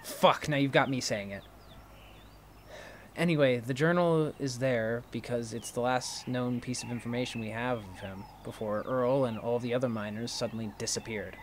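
A man playing an impulsive, curious friend in an audio drama, conversational and quick to get defensive. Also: medium pitch, a little hiss or hum, close to an everyday voice. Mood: eager. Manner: animated protests, inquisitive, defensive. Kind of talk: naturalistic audio drama dialogue.

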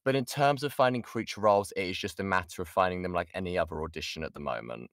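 A man's voice: low-pitched, fast talker, a bit bright, no echo, studio quality. A British man, a voice actor, giving an informal online workshop in a chatty way, breaking off and repeating key points for emphasis. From United Kingdom. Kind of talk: informal online lesson with audience questions. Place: indoors, online voice chat.

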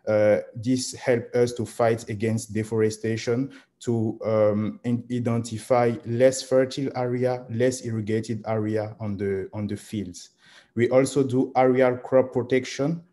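A man, presenting a startup pitch as its founder over a video call. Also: flat delivery, measured pace, warm tone. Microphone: video call microphone.

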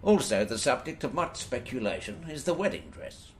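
Man playing a formal newscaster, mid-pitched. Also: very animated, normal speed. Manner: formal, presentational. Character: male newscaster reporting royal wedding rumours. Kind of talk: scripted news bulletin in radio play.

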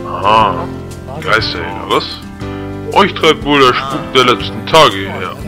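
A man plays a burly, wary tavern keeper in a fantasy audio play. The voice is low and rough, with music clearly behind it. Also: animated, a little slow. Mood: forced cheer. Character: burly, wary male barkeeper.

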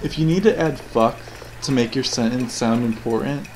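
A man's voice: mid-range voice, normal speed, a little hiss or hum, poor audio. A man giving casual, opinionated commentary on game cutscenes, rambling and musing in questions. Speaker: video game reviewer. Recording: voice-over with game music behind.

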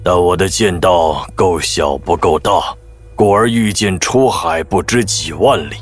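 A man's voice: low voice, a little slow, faint music behind. An actor playing a laconic middle-aged master swordsman in an audio drama, musing and wry. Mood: solemn reflection.

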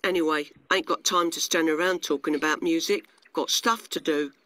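A man's voice: on the high side, steady tone, moderate pace, sharp tone, no echo. A man voicing a practical, hard-working ant in a children's storybook, curt, blunt and grumbling. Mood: irritated, curt.

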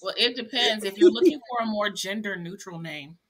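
A woman's voice: medium pitch, fairly quick, a bit bright. A woman co-hosting a writing stream on a video call, joking and playfully teasing in casual chat.

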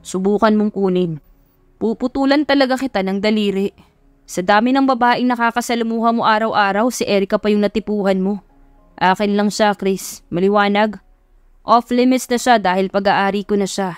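A woman's voice: medium pitch, fairly even intonation, normal speed, clean tone, no room sound, soft background music, lightly acted. A woman voicing a cocky, possessive rich young man, boastful and bossy, in an audio love story.

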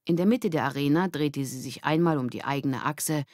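A woman's voice: low voice, fairly quick, a little mellow, dry recording, studio quality. A woman narrating a scripted children's story as an audiobook reader.

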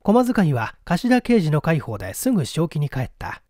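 Male audiobook narrator, mellow and dry-sounding, dramatic storytelling style. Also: fairly high pitch, huge pitch swings, normal speed, dry recording.

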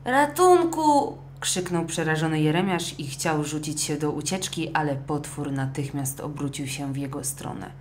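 A woman narrating a scripted children's audio story in an expressive, dramatic way. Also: low voice, normal speed, hardly any pauses, a little hiss or hum.

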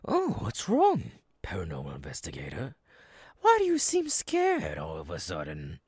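Killer clown monster, very raspy, deep, theatrical, drawn-out, gleefully menacing, horror ASMR roleplay. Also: male voice, wildly swooping pitch, no echo, clean studio sound, heavily acted. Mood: mocking, manic. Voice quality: raspy, gravelly, breathy whispers. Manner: drawn-out, lurching between whisper and cackle.

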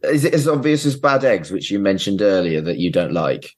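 A man's voice: deep voice, sing-song, brisk, a little mellow. A man hosting a podcast, chatting casually and bantering about television. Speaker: podcast host.

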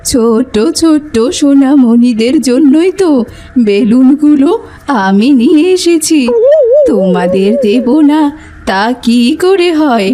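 Slow, coaxing old granny witch. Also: high voice, expressive, dark tone, faint music behind. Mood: sweetly coaxing. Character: sly old granny witch offering balloons to children. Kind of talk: cartoon voice acting.

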